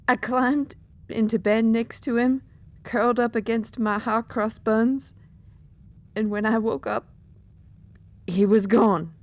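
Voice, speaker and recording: Woman as a slow, weary pegasus mercenary captain. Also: fairly high pitch, fairly even intonation, dry recording. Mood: grieving. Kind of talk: audio drama acting.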